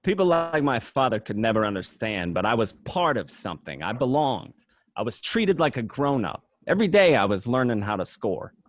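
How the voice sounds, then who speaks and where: A man's voice: mid-range voice, sing-song, moderate pace, dry recording. A man playing a streetwise gangster who tells of his boyhood with swagger, in an amateur radio play. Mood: proud, nostalgic. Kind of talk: first-person narration in an amateur radio play. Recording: dull lo-fi home recording.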